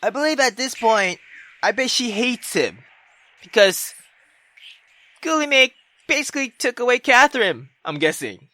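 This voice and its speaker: A woman's voice: fairly high pitch, lively intonation, moderate pace, long pauses, sharp tone. A woman playing a visual novel, giving casual, sweary reactions and hesitant thoughts aloud between stretches of reading. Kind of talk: casual reactive commentary and read-aloud narration.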